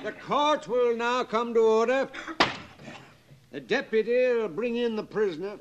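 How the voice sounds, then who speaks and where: An actor playing a wavering frontier judge in an old-time radio western, fussy, folksy and hesitant. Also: male voice, very high voice, measured pace, dull audio, background noise. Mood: official. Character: wavering frontier courtroom judge, easily pressured.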